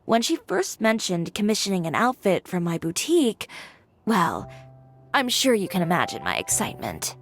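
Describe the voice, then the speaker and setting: Poised confident female boutique owner, composed matter-of-fact tone, mid-pitched, game music behind. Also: sing-song, moderate pace. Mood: admiring. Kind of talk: video game voice acting.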